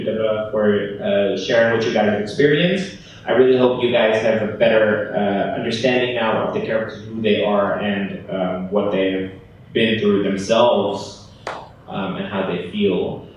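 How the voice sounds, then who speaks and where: A man's voice: fairly low pitch, steady tone, normal speed, lo-fi sound. Young man hosting in an echoey hall. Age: young adult. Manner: informal, apologetic asides. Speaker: host of a student panel event. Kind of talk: casual live panel hosting. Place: large hall at a live event. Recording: echoey room from far off, faint noise.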